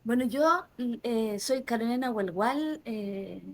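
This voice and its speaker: A woman in her forties with a Chilean accent, speaking softly and reflectively as an interview guest, often restarting her phrases. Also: fairly high pitch, expressive, slow. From southern Chile. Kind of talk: casual interview conversation.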